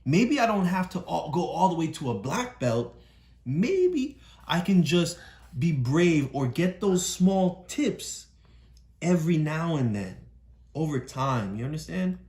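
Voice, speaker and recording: A woman with a deep voice and animated, up-and-down intonation explains a story to a child, encouraging and patient. The sound has noticeable room echo and a faint hiss behind it. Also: measured pace. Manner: encouraging, keeps checking understanding. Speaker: parent coaching a child through a read-aloud.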